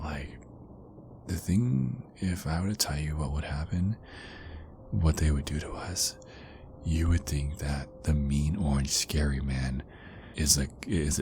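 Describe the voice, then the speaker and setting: A man playing a tender, insecure werewolf boyfriend in an intimate ASMR roleplay, speaking in a hushed, halting way that often slips into whispers. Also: a bass voice, noisy background. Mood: hushed, earnest.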